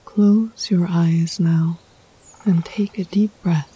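A woman leading a guided meditation in a soft, breathy voice that often sinks near a whisper, hushed, soothing and unhurried, with poetic scripted phrasing. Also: fairly low pitch, steady tone, rough recording. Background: music.

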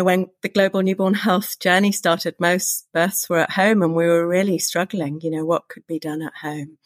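A woman's voice: mid-range voice, no echo. A woman guest on a podcast, talking about her career in a reflective, enthusiastic way. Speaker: guest professor. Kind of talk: conversational podcast answer.

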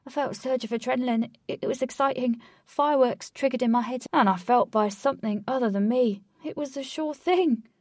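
A woman's voice: fairly high pitch, brisk, few pauses, darkish tone, dry recording, clean studio sound, voice pushed up, close to an everyday voice. Woman reading a fretful, guilty young man. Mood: distressed. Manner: mumbling, sniffling, pleading. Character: distressed young man, foolish gambler.

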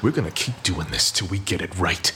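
A man's voice: deep voice, fairly quick, vocal fry, pushed and bright, heavily acted. A man acting a domineering, scheming crime boss, in a hard-boiled comic audio drama. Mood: commanding, menacing. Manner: theatrical, commanding. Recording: faint background noise.

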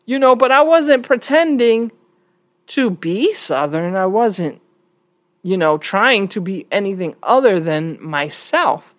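A woman's voice: mid-range voice, expressive, slow, dry recording. American woman giving emphatic commentary, dry close sound. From New York, United States. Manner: emphatic, questioning, conversational. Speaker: online commentator. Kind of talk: casual opinion commentary.

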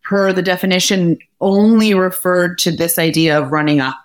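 Eager woman co-hosting, lowish voice. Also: steady tone, moderate pace. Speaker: podcast co-host. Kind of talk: casual podcast conversation.

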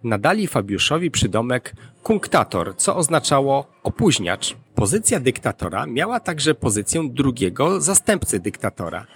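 A man's voice: mid-range voice, vocal fry. A man hosting an educational podcast, reading scripted narration.

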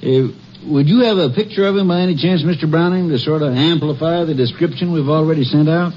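An actor playing a plain-spoken, stern county sheriff in a 1950s radio western, folksy and earnest. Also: male voice, on the high side, fairly quick, lightly acted. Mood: businesslike. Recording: muffled old radio, faint noise.

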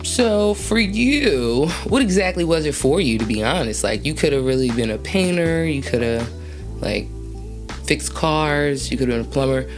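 A woman's voice: low voice, animated, moderate pace. Slangy, chatty woman host over music. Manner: chatty, laughing, slangy, long rambling questions. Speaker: interview host. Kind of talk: casual interview.

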